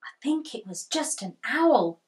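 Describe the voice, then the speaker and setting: A woman's voice: high-pitched, big pitch swings, measured pace. Kipper, a curious young dog read by a woman. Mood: hushed reassurance.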